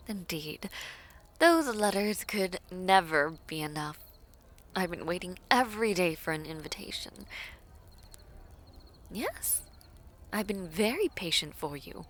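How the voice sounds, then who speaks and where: A woman playing a devoted, teasing princess in a romantic audio roleplay, speaking formally and coyly with breathy, whispery softness. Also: lowish voice, a little hiss or hum, heavily acted. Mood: tender longing, then gently teasing. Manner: slow, formal, coy, often dropping to breathy whispers.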